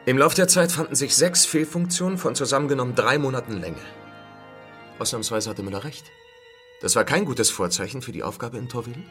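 A man's voice: medium pitch, lively intonation, fairly quick, fairly bright tone, soft background music, lightly acted. An actor playing a world-weary space traveller who narrates his mission in a radio play, dry, wry and laconic. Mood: matter-of-fact.

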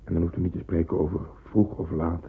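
An actor playing a grave, knowing porter in a Dutch radio play, terse and commanding, with a gravelly, husky voice. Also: male voice, low voice, level tone, quick pace, exaggerated voice. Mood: grave, insistent. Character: male porter, grave, knowing, authoritative secret helper. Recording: muffled tinny old radio recording.

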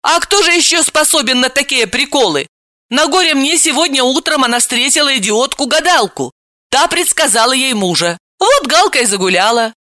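A woman's voice: sits high, animated, moderate pace, sharp tone, no echo, clean studio sound, pitched above the performer's own voice. A woman voicing a hot-tempered, sarcastic, exasperated young woman in an audiobook, snappish and indignant. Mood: irritated. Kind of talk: audiobook character acting.

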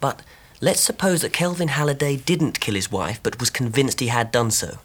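An actor playing a concerned, methodical young husband in a radio drama, well-spoken and reasoning deliberately. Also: male voice, medium pitch, lively intonation, breathy edge. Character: logical, concerned young English husband, methodical amateur investigator.

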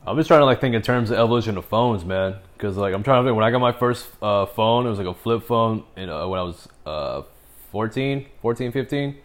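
A man in his twenties with a fairly low voice, talking in a loose, slangy style. He sounds like he is hanging out with a friend, rambling casually. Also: brisk. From United States. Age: 20s. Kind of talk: casual chat.

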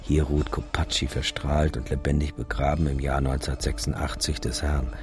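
A sardonic, sombre photographer tells his memories in a very deep voice. The delivery is measured and laconic, in radio drama style over clear music. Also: level tone. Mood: grim, sardonic. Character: sombre, sardonic male photographer recalling a disaster.

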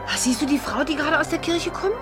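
A woman's voice: high voice, quick pace, over music, close to an everyday voice. An actress playing a spirited young woman in a 1950s radio play, emphatic and at times dreamy. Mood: wistful, admiring. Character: spirited young English actress, wistful and firm.

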